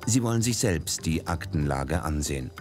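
A deep-voiced man reads documentary narration in a measured, grave manner. It sounds like a studio voice-over. Steady dramatic music plays beneath him.

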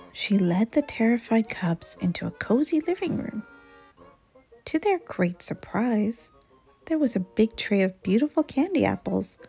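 A woman reading a children's story aloud in an expressive, deliberate, dramatic way. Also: a little high, gravelly.

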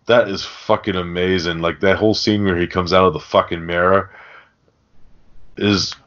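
A man's voice: low-pitched, fairly even intonation, moderate pace, fairly bright tone. A man hosting a podcast, chatting casually about a film in a rambling way with plenty of swearing. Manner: rambling, profane. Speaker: podcast host. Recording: dull, lo-fi audio.

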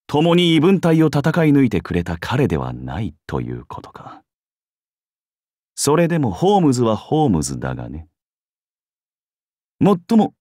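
An actor playing a cocky young genius schemer in a game, with a wry, theatrical manner. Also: male voice, medium pitch, a little mellow, no room sound, clean studio sound. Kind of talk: game character acting.

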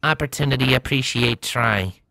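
A man's voice: medium pitch, normal speed, creaky, bright, forceful tone, no room sound. A man voicing a small, trusting, childlike robot rover in clipped broken grammar. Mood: resigned.

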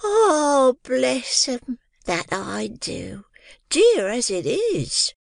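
A woman's voice: high voice, big pitch swings, drawn-out words, vocal fry, no room sound, studio quality, exaggerated voice. A woman voicing a fawning, scheming middle-aged orphan-nurse who gushes and wheedles in an old-fashioned working-class dialect. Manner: gushing, wheedling, sugary. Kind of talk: dramatized classic novel reading.

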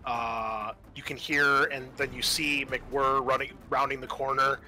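A man's voice: mid-range voice, level tone, measured pace, bright, forceful tone, rough recording. A man narrating a tabletop roleplaying game as game master, casual and thinking aloud as he goes.

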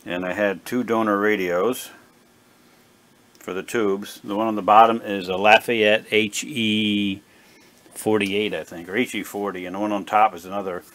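A man giving a casual, unscripted narration at a workbench, plain-spoken and halting as he thinks aloud like a hobbyist repair vlogger. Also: fairly low pitch, fairly even intonation, fairly bright tone. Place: indoor home workbench. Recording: clear background noise, workshop ambience.

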